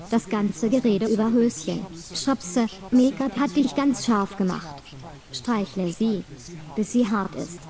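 A young woman with a warm, mellow voice at an ordinary pitch speaks straight to the listener. Her delivery is slow, commanding and insistent, and she repeats phrases in a hypnotic rhythm, as if reading a prepared script.

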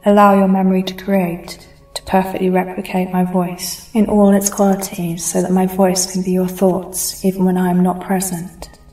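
Hushed, soothing woman hypnotist, flat and low. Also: far from the mic. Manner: slow, soothing, hushed and intimate. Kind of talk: scripted hypnosis recording. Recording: roomy echo, voice set back from mic, faint music bed.

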